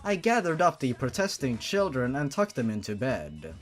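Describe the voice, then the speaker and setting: A performer voicing a gentle aunt who tells a bedtime story, with slow, deliberate delivery, as in a dramatic reading of fan fiction. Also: mid-range voice, wildly swooping pitch, soft background music. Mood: soothing.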